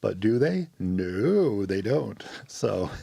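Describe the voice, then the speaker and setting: A man hosting a casual podcast, his voice low and gravelly. He rambles along in a relaxed, explaining way. The sound is dry and close, with no room around it. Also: slow, dry recording. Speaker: podcast host.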